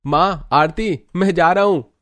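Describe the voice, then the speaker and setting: A man's voice: high-pitched, measured pace, a bit bright, dry recording, studio quality, pitched above the performer's own voice. A man voicing a boastful, idle young husband in a cartoon story, brash, self-assured and dismissive. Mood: cocky.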